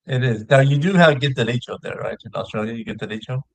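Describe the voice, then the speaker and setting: A man's voice: medium pitch, fast talker, creaky, no room sound, poor audio. A man who hosts his own show, chatting casually as a guest. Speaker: video show host appearing as a guest. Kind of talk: casual chat.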